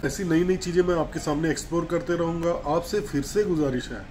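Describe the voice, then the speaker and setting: Chatty male food vlogger, slightly high voice. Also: fast talker, darkish tone. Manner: chatty, savoring food with little exclamations. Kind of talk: casual food review vlog. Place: restaurant breakfast buffet. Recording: restaurant background noise. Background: restaurant ambience.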